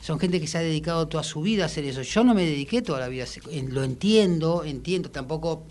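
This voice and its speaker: A man with a Rioplatense Argentine accent talking casually and reflectively in a radio interview, with halting, colloquial phrasing. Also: on the high side, darkish tone. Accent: Rioplatense Argentine Spanish. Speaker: musician and songwriter guest.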